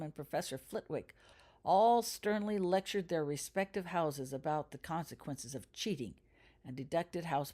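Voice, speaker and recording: A woman with a low-pitched voice reads fiction aloud. She is the author of the story, delivering it as scripted audiobook narration. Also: darkish tone. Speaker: author reading their own fan fiction aloud.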